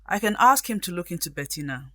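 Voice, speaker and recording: A woman's voice: fairly low pitch, expressive, fast, pushed and bright, no echo, studio quality. A woman playing a self-assured, helpful friend in a radio drama, talking in a composed, conversational way.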